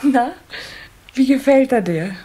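A woman playing a caring water-sprite mother in a children's audio play, careful and gently scolding. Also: high voice, lively intonation, takes it slowly, heavily acted. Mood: cautioning.

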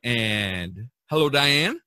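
A man's voice: medium pitch, lively intonation, very slow, bright, forceful tone, clean tone, dry recording. An American man hosting a podcast, talking in an upbeat, emphatic and enthusiastic way. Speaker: podcast host.

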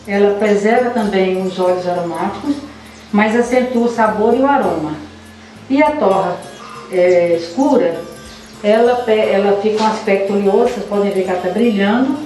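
A woman's voice: mid-range voice, a little mellow. Casual woman demonstrating, roomy distant sound. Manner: informal, addresses viewers directly with fillers. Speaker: coffee processing instructor. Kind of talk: hands-on demonstration. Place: bright indoor processing room. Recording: roomy, from a distance, music under.

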